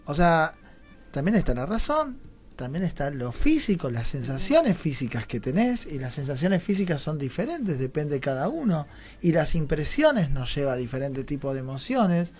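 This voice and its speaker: Argentine male theatre director, slightly high voice, thoughtful discussion style, seeks agreement as he reasons. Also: big pitch swings, moderate pace, darkish tone, faint music behind. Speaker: radio show guest, theatre director. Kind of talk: reflective radio discussion. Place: radio studio.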